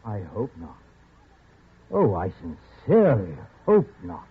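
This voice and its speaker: An actor playing an old jungle recluse in a radio drama, softly evasive and stubborn, with a hesitant, stammering delivery. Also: male voice, on the low side, huge pitch swings, slow pace, heavily acted. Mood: calmly stubborn. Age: older. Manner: stammering, hesitant, mild-mannered. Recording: noisy, tinny vintage radio recording.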